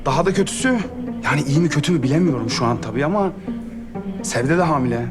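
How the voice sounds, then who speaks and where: An actor playing a fretful middle-aged uncle in a TV comedy drama, whiny and pleading. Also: male voice, on the high side, animated, fast, natural voice. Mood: worried. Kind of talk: TV series comedy acting. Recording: music score under dialogue.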